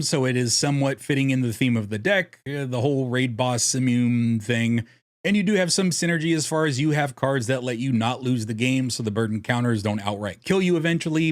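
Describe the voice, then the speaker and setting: Man with a lively, swooping delivery. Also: mid-range voice. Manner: playful, wry asides. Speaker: host of a card game video. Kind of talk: scripted narration, deck guide with casual asides.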